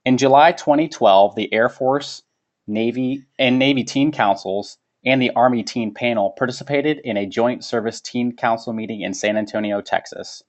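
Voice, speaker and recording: A man's voice: mid-range voice, normal speed. A man reading a formal scripted webinar presentation as its presenter. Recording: dull lo-fi webinar audio.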